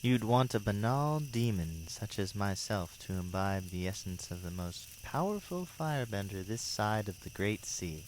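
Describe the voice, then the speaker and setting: A man's voice: lowish voice, expressive, moderate pace, hardly any pauses, slight background noise, lightly acted, low-quality recording. A man playing a devilish, mocking demon tempter in a fan audio drama, speaking with theatrical mock courtesy and ornate words. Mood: disdainful.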